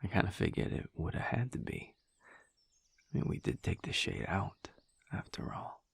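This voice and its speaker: A man playing a teasing, guarded lover in an intimate audio roleplay, speaking in a husky, breathy murmur with hesitant pauses. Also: low voice, very animated, no echo, heavily acted. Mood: dry, probing.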